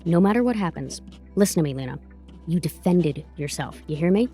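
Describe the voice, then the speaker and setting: A woman's voice: on the low side, animated, a little fast, warm tone, soft background music, natural voice. A woman playing a tough, protective advocate in an audio drama, blunt, slangy and profane. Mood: admiring, firm. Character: tough, brassy, protective woman advocate coaching her client.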